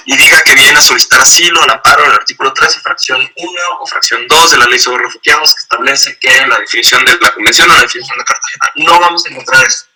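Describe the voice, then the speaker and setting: A man's voice: mid-range voice, bright, forceful tone, low-quality recording. A man giving an online training lecture on asylum law, explaining step by step and acting out short example dialogues.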